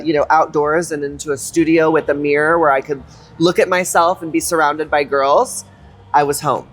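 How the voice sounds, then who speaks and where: A drag performer and choreographer from Texas, chatting as a guest in a lively, campy, playful way with quick quips. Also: male voice, on the high side. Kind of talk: casual interview chat.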